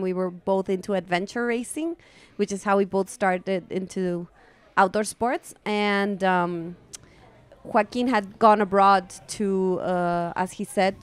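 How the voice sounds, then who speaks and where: A woman, a guest in an interview, warm and quick to laugh. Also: mid-range voice, steady tone, smooth. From Ecuador. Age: 30s. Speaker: guest, trail runner. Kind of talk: interview chat. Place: pop-up store at a race event.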